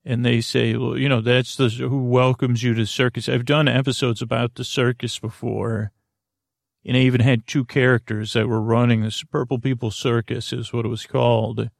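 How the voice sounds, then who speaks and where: A man with a gravelly, fry-laden voice at an ordinary male pitch, recorded close and dry. He rambles through a whimsical bedtime monologue as a podcast host, meant to lull listeners to sleep. His sentences meander, halt and double back on themselves. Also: level tone, dry recording. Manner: meandering, self-interrupting, halting with trailing tangents; whimsical, mildly absurd.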